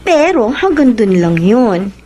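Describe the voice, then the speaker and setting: A woman's voice: a little high, sing-song, takes it slowly, warm tone, a little hiss or hum. A Filipino woman acting as a smitten, flirtatious and confident younger sister in a radio drama. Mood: reassuring.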